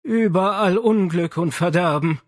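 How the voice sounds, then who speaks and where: A man's voice: high-pitched, unhurried, no room sound, clean studio sound, pitched above the performer's own voice. A man voicing an exasperated, fretful Italian mother in an audiobook, indignant and plaintive. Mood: worried. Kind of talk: audiobook character acting.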